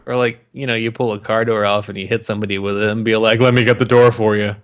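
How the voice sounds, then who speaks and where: A man's voice: on the low side, flat delivery, fast, smooth. A man co-hosting a podcast, bantering casually about movies with plenty of swearing and sarcastic wisecracks. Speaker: podcast co-host.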